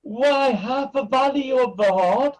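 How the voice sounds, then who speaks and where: An actor playing a scheming pantomime villain who poses as a kindly uncle, speaking in theatrical, wheedling rhyme. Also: male voice, very high voice, slow, rough recording. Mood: persuasive, dramatic. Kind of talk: rhyming pantomime acting.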